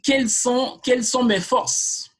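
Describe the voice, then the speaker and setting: A marketing trainer teaching a live online class at an unhurried, slow pace, in a voice of ordinary middle pitch. The delivery is halting, with sentences restarted and filled with pauses as each concept is laid out step by step. The speaker addresses the viewers directly, like a teacher in front of a class. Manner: hesitant, with frequent restarts and filler sounds.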